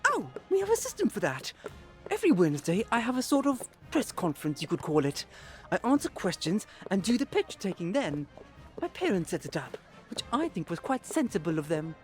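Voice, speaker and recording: A woman's voice: mid-range voice, wildly swooping pitch, fairly quick, faint music behind. A woman playing a young, sheltered, chatty prince in an audio drama, with a breezy, naive manner.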